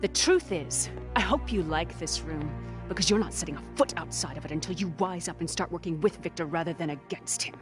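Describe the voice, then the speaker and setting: A woman's voice: lowish voice, animated, fairly quick, lightly acted. An actress playing a smug, coolly commanding female villain in a video game cutscene, haughty and lecturing. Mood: condescending, stern. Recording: music behind the voice.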